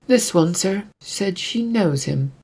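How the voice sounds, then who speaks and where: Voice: mid-range voice, lively intonation, darkish tone, husky, pitched above the performer's own voice, heavily acted, rough recording. A performer voicing a befuddled, hesitant city gate guard in a fantasy story. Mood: dutiful. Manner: halting, unsure.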